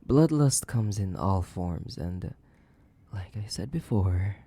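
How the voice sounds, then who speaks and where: A man playing a sadistic, possessive, centuries-old vampire in a horror roleplay, theatrical and menacing, with a creaky voice that lapses into breathy whispers. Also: deep voice, lively intonation, slow pace, mellow, dry recording, full character voice. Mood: gloating, hungry. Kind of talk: dramatic horror roleplay audio, addressing the listener.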